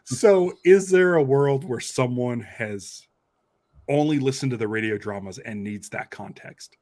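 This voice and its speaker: A man's voice: medium pitch, a little slow, darkish tone, no echo. A man co-hosting a podcast in casual, conversational fan chat. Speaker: podcast co-host.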